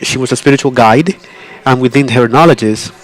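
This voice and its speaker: Halting male conference interpreter. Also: medium pitch, poor audio. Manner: halting, frequent restarts and self-corrections. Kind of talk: live interpretation of a conference talk.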